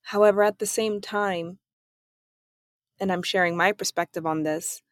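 A woman from Germany hosting her own podcast, talking through her thoughts in a calm, reflective solo monologue. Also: mid-range voice, normal speed, no echo, clean studio sound. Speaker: podcast host.

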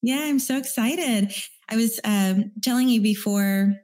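A woman's voice: fairly high pitch, measured pace, darkish tone. A woman hosting a podcast, reading an earnest introduction and then interviewing her guest. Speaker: podcast host.

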